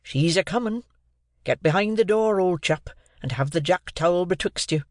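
A man voicing a kindly, simple country blacksmith who speaks slowly and softly, in a storybook reading. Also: sits high, expressive, dry recording, studio quality, pitched above the performer's own voice. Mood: gentle. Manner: slow, drawn-out, soft.

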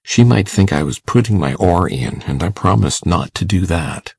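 A man playing a shy, kindly older farmer in a storybook, hesitant and folksy, coaxing gently and repeating himself. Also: low voice, animated, normal speed, gravelly, husky, dry recording, full character voice. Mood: gentle, relieved. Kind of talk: audiobook character acting.

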